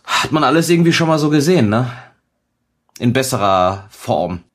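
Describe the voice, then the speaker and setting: A man's voice: medium pitch, expressive, normal speed. A man co-hosting a casual review chat with a blunt, slangy way of talking. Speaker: co-host of an anime review show.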